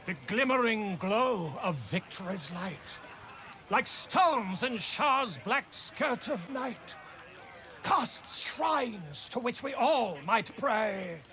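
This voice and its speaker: A man's voice: sits high, animated, slow, pushed and bright, exaggerated voice. A man playing a flamboyant theatrical bard in a video game, declaiming rhyming verse in a grandiose, dramatic manner. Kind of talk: declaimed rhyming poetry performance.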